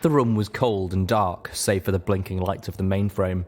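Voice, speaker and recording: Male story narrator, low register, scripted first-person reading with faint noise behind. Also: steady tone, normal speed, darkish tone. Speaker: narrator of a fan fiction reading.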